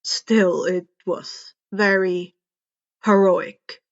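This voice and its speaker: A woman voicing a gruff, stern male hero mentor in a read-aloud story, in a muffled recording. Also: fairly low pitch, fairly even intonation, extremely slow, voice pushed down. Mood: gruff approval. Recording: dull, muffled lo-fi home recording.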